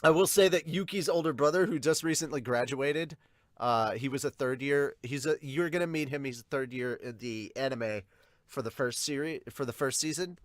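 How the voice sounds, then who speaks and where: A man's voice: medium pitch, normal speed, dry recording, studio quality. A man co-hosting an anime fan podcast, talking casually and bluntly in a rambling, sometimes sweary way to viewers. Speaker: co-host of an anime and manga podcast.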